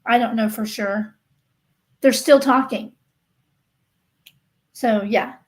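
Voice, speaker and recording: A woman with a slightly high voice chats casually as the host of a livestream. She speaks directly to her viewers in an emphatic, insistent way, often restating a point to stress it. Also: fairly even intonation, slow. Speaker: livestream host of a true-crime gossip channel.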